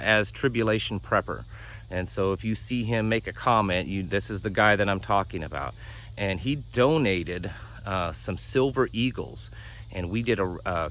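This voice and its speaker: Guy with a lowish raspy tired voice, rambles w lots of ums, crickets faint behind. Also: fairly bright tone, slight background noise. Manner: rambling, frequent fillers and restarts. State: raspy, worn-out voice from heavy talking. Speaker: channel host. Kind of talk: casual talk to camera.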